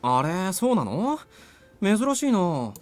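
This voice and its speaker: A woman's voice: on the low side, big pitch swings, normal speed, a little mellow, faint music behind. A woman playing a brash, playful teenage school friend in an anime-style game, blunt and teasing. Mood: teasing, casual. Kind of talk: anime-style visual novel acting.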